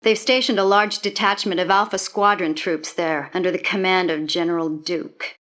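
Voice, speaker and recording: A woman playing a brisk, direct military lieutenant giving a mission briefing in a video game. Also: on the low side, fairly even intonation, fairly bright tone, lightly acted. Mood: businesslike. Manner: direct, businesslike.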